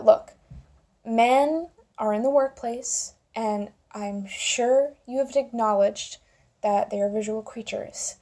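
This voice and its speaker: A young woman with a fairly high voice that often drops into heavy creak. Her tone is mellow and dark-edged. She talks informally and wryly, as in an opinion vlog. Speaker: video commentator. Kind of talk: casual opinion vlog to camera.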